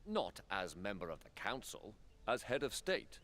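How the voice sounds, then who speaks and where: Harried, low-voiced statesman. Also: big pitch swings, unhurried, a bit bright. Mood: exasperated, grave. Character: earnest, harried male council statesman under political pressure. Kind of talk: fantasy game voice acting.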